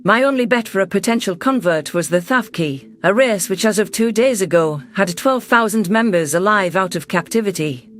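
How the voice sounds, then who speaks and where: A man reading first-person science fiction narration in a steady, measured, scripted audiobook style. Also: sits high.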